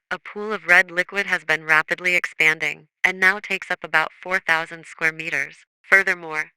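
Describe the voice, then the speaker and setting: A grave official emergency announcer, played by a man, with a high, very bright and forceful voice. He reads a scripted broadcast alert in a formal, measured way. The delivery is crisp and authoritative. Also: no echo, heavily acted, low-quality recording.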